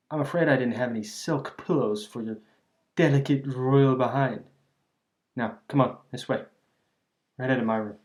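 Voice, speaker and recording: A man performs a bandit gang leader with a mid-pitched male voice. He is cocky yet oddly friendly, talking straight to the listener in loose, folksy slang. The delivery is a teasing, chatty ASMR roleplay monologue. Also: darkish tone, natural voice.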